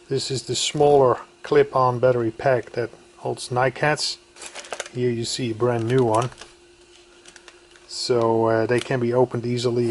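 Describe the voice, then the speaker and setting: Male hobbyist demonstrator, mid-range voice, informal and slightly halting, some background noise. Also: mid-range voice. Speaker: radio enthusiast presenting equipment. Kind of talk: casual hands-on demonstration narration.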